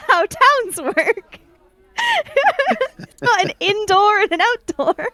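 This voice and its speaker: A woman's voice: very high voice, expressive, slow, sharp tone, no echo. A woman chatting casually as a player in a tabletop roleplaying game. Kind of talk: casual banter.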